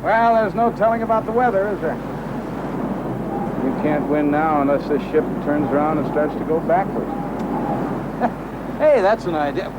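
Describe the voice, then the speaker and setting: An actor playing a jovial, teasing ship passenger who talks in a chummy, joking way in a television drama. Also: male voice, on the high side, animated, normal speed, long pauses, muffled recording, lightly acted. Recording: clear outdoor boat noise.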